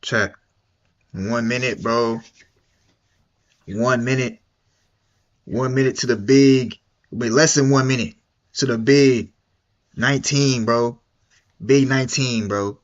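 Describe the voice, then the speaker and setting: A man's voice: medium pitch, steady tone, slow pace, long pauses, dull audio. A young man streaming, reacting to a video in casual, slangy, repetitive chat. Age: young adult. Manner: slangy and repetitive, drawling and mumbly.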